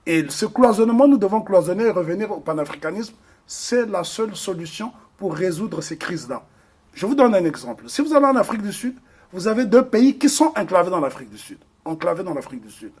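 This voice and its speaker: A man's voice: sits high, a little mellow, breathy edge. A man from the Republic of the Congo, speaking as an interview guest in an earnest, emphatic way with frequent hesitations. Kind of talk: interview discussion.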